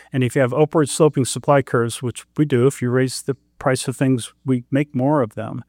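A man at mid pitch presents economics to farmers in an easy, talky way. He tosses questions to the audience and pokes fun at himself. His voice is close and dry, with no room ring. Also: darkish tone, no room sound. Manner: conversational, quizzes the audience, self-deprecating jokes. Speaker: economist giving a talk. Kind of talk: conference presentation to a farm audience.

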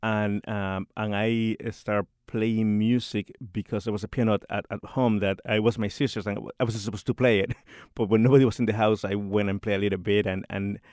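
Halting low-voiced man. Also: little pitch movement, darkish tone, no echo, studio quality. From Puerto Rico. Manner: hesitant, many restarts and repeated words, laughs often. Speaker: composer and church organist. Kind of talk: radio interview.

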